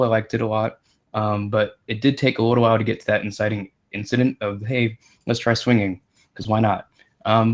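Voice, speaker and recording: Lowish-voiced man giving tentative feedback. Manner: tentative, informal. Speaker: volunteer table reader. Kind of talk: casual feedback talk.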